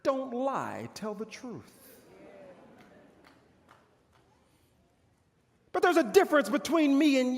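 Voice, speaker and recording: A proud, bitter military commander of old , played by a man declaims to an audience in a theatrical monologue. His delivery is pompous and very animated, broken by dramatic pauses. The voice rings through a big hall with long reverb. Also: sits high, full character voice. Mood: taunting. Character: proud, boastful male army commander of ancient Israel's era, bitter. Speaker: pastor performing a monologue. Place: large church hall stage.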